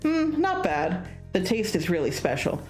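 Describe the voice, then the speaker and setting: A woman's voice: mid-range voice, wildly swooping pitch, measured pace, darkish tone. Woman playing a proud, aloof tiger spirit. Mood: aloof, appraising. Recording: music behind the voice.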